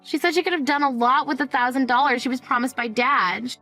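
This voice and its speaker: A woman's voice: high voice, fairly quick, bright, forceful tone, faint music behind, voice pushed up. A woman playing a frightened teenage daughter, whiny and pleading, in a dramatized story. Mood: alarmed, tattling.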